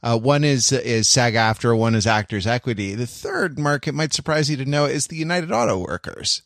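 An American man co-hosts a podcast in a casual, jokey register. He speaks at a middling pitch and wanders through asides as he goes. The sound is dry, with almost no room. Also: animated, normal speed, vocal fry, fairly bright tone, dry recording. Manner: digressive, jokey. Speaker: podcast co-host. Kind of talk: casual chat.

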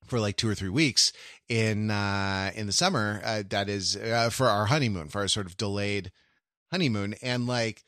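A man in casual podcast conversation, co-hosting with a halting, digressive manner and frequent self-correction. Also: lowish voice, bright, forceful tone, dry recording, clean studio sound. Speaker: podcast co-host.

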